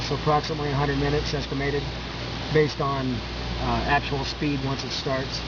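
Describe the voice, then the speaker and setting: Man, equipment demo, flat and steady delivery, somewhat high voice, lo-fi audio with loud machine hum. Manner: halting, matter-of-fact explaining with fillers. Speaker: equipment demonstrator. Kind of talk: technical product demonstration. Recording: dull lo-fi camcorder audio with loud background noise.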